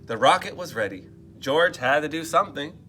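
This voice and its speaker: Man, animated storybook reading, very bright voice, casual questions to the kids. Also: on the high side, moderate pace, faint music behind. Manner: expressive, asks listeners questions in muttered asides. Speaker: teacher reading aloud to children. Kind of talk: animated storybook read-aloud with casual asides.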